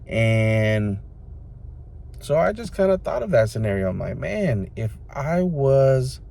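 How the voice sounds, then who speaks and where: A man's voice: medium pitch, sing-song, slow pace, vocal fry, warm tone. A man in his mid-thirties talking to his viewers in a loose, rambling, reflective way, full of fillers. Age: 30s. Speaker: vlogger. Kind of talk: casual reflective monologue to the camera.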